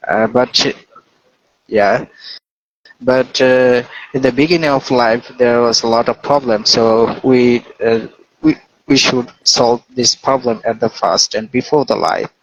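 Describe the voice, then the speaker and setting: A man's voice: mid-range voice, fairly even intonation, measured pace, no room sound. A man with a Bangladeshi English accent, a Bengali speaker, tutoring English on a live stream. He talks earnestly and at length, with many "uh" fillers. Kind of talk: casual advice in a live chat. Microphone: online live stream call. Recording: dull lo-fi stream audio with faint noise.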